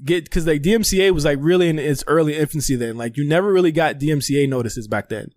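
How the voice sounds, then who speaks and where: A man hosting a casual podcast, telling stories and asking questions in an animated, slangy way with free swearing. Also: a little high, quick pace, no room sound. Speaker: podcast host interviewing a guest. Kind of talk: casual storytelling and interview chat.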